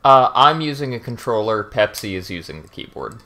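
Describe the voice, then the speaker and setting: Man chatting casually, animated pitch swings. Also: a little fast. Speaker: co-op speedrunner. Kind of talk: casual chat while gaming.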